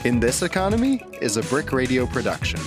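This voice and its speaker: A man's voice: mid-range voice, big pitch swings, a little fast. A man announcing scripted podcast production credits. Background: guitar music.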